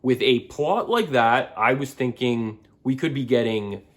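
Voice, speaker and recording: A man's voice: medium pitch, animated, measured pace. A man giving a casual book review to his viewers, often repeating words and backtracking as he talks.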